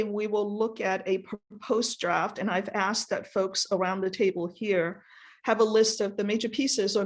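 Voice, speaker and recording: Hesitant woman chairing a meeting. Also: mid-range voice. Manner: hesitant, repeats words while thinking. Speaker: committee chair.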